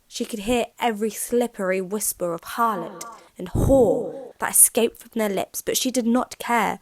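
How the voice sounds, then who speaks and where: A woman's voice: mid-range voice, no echo. A woman narrating a scripted, dramatic short story for an audio drama.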